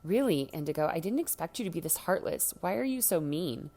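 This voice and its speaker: A woman reader acts out a teenage boy from a young adult story. He is theatrical and emotional, with a creaky edge to the voice. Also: on the low side, expressive, a little fast, mellow. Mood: mock hurt. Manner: theatrical, mock-wounded. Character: teenage boy, dramatic, teasing, emotional friend.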